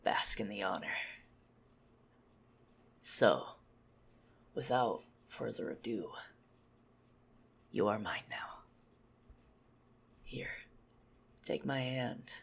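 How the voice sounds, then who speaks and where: Man acting as a low, gravelly whispering possessive vampire. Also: animated, a bit bright, full character voice. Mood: possessive, commanding. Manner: haughty, teasing, slow deliberate delivery with breathy murmurs. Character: possessive, menacing, smug male vampire stalker. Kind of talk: ASMR roleplay.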